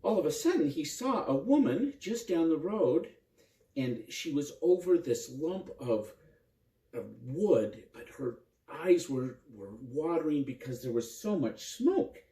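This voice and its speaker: A man narrating a children's story in an expressive, simple way, with dramatic repetition. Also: on the high side, unhurried. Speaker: priest and storyteller. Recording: roomy echo, distant microphone.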